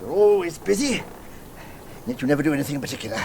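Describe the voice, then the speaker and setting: An actor playing a jovial, dreamy, gentlemanly water rat who relishes every word in a radio play. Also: male voice, on the high side, very animated, fast talker, vocal fry. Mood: dreamy, enthusiastic. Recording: noisy old radio broadcast tape.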